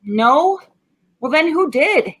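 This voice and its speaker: A woman acts out a stubborn homicide cop in a 1940s radio play. The voice sits fairly high for all its tough, slangy bluster. The delivery is sardonic and unimpressed. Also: expressive, slow pace, a bit bright, exaggerated voice. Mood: skeptical.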